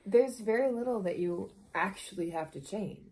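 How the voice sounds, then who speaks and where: A woman's voice: mid-range voice, lively intonation, moderate pace, darkish tone. A woman chatting casually in a supportive, reassuring way. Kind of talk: casual chat.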